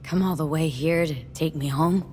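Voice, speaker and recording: An actress playing a defiant, exhausted young mercenary in a game, with a blunt, streetwise, clipped delivery. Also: female voice, deep voice, moderate pace, a little mellow, a little hiss or hum, natural voice. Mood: weary.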